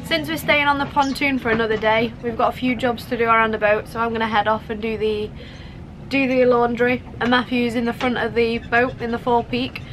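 Cheerful higher-voiced young woman vlogging. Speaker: sailing vlogger. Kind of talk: casual vlog chat. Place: inside a small boat cabin.

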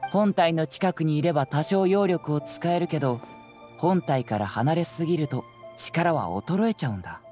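A man voicing a youthful, confident detective in an anime game, speaking in a measured, analytical, explanatory way. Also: on the high side, animated, mellow, close to an everyday voice. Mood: calm, firm. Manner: measured, explanatory, self-assured. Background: faint background music.

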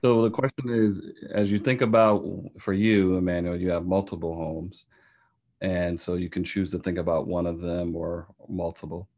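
A man with a very low voice and a flat, even delivery moderates a discussion. He puts his questions carefully, pausing and restarting as he words them. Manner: halting, careful phrasing.

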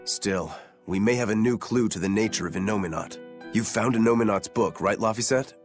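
A man's voice: fairly low pitch, fairly quick, lightly acted. A man playing a cool, self-assured fighter in a video game. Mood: thoughtful. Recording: game audio with music behind.

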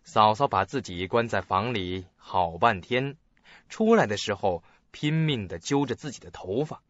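A man narrating a radio drama in an expressive, suspenseful, scripted style. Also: fairly low pitch, moderate pace, no room sound. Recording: dull lo-fi audio, faint music.

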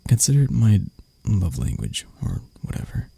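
A man playing an arrogant, prickly CEO boss who turns vulnerable and flirty, in an intimate, halting ASMR roleplay that often drops toward a whisper. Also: lowish voice, huge pitch swings, vocal fry, warm tone, breathy edge, dry recording, exaggerated voice. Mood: teasing, flirty.

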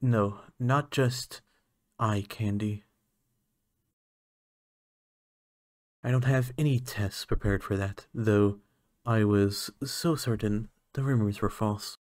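A lonely alien scientist, acted by a man in an ASMR roleplay, with a fairly low voice. He speaks slowly and haltingly, musing earnestly. The sound is dry and close, with no room echo. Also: darkish tone. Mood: self-critical, resolved.

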